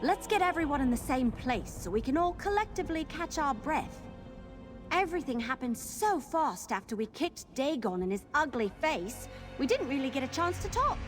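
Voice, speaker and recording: High-voiced, chatty adventurer gal. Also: female voice. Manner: casual, chatty, wry. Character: friendly, plain-spoken young female adventurer ally. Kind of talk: video game character acting.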